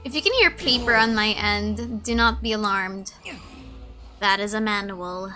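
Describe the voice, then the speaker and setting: Girl streamer chatting over a game, bright highish voice, playful, thinks out loud, faint music behind. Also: a little slow. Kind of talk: casual live gameplay commentary.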